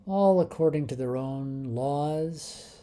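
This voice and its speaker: Very dark, mellow, slow male meditation guide. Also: on the high side, slight background noise. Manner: calm, unhurried, with long pauses between short phrases.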